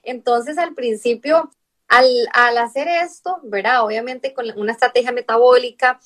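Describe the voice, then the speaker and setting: A woman's voice: fairly high pitch, fairly even intonation, moderate pace, a bit bright. Costa Rican woman nutritionist explaining. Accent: Costa Rican Spanish. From Costa Rica. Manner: explanatory, fills with rhetorical "¿verdad?". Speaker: nutritionist guest. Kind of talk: conversational interview.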